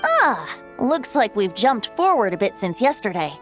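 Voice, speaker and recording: A woman's voice: on the high side, very animated, normal speed, music in the background. A woman playing a playful, reassuring young character in a fantasy video game. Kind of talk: game voice acting.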